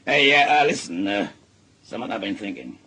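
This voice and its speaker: A man's voice: fairly low pitch, sing-song, crowd noise. An actor playing a belligerent, suspicious middle-aged father who likes a drink, blustering in a Northern English accent in a radio drama. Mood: conspiratorial, halting. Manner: blustering, halting when explaining.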